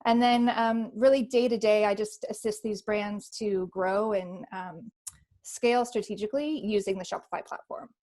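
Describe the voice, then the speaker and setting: A woman's voice: mid-range voice, fairly even intonation, normal speed, mellow. Woman, thirties, Californian American accent, friendly, many um fillers, video call webinar. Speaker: panel speaker. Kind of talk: webinar self-introduction.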